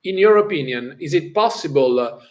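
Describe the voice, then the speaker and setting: A man with an Italian accent hosting an interview, asking questions hesitantly with many pauses. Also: fairly high pitch, animated. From Italy. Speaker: interviewer, host.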